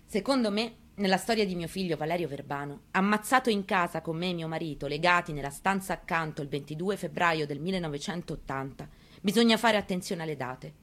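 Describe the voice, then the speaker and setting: A woman performing a dramatic monologue as a grieving, resolute elderly mother, in a deliberate, intimate manner. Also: fairly low pitch, close to an everyday voice. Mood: resolute, pained. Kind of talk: dramatic monologue, theatrical reading.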